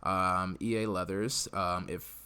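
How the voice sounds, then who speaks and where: A man's voice: low voice, steady tone, slow pace, sharp tone, clean tone. An American man hosting a live podcast, chatting casually and giving his opinions in a conversational way. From United States. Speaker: podcast host.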